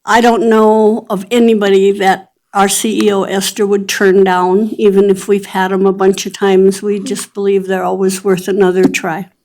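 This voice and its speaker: A woman's voice: mid-range voice, level tone, moderate pace, few pauses, darkish tone, poor audio. A woman testifying at a public board meeting, explaining her treatment center's services in an earnest, plain-spoken way. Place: government board meeting chamber.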